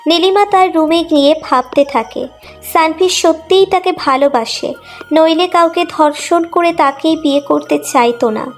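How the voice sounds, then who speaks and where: High voiced lady narrating an emotional love story, reading from script, soft music behind. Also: measured pace.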